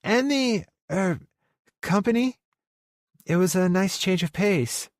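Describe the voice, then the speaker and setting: Man putting on a flustered, high-pitched young woman. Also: lively intonation, no echo, clean studio sound. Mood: polite, hesitant. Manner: halting, flustered. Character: flustered young woman employee, eager and awkward.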